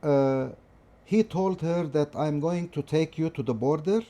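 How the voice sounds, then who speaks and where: A middle-aged man from Lebanon with a Lebanese accent, a professor recalling his family history and studies in an interview, speaking in a measured, hesitant way with many fillers and restarts. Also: fairly high pitch, warm tone. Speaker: guest, political science professor. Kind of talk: interview, personal recollection.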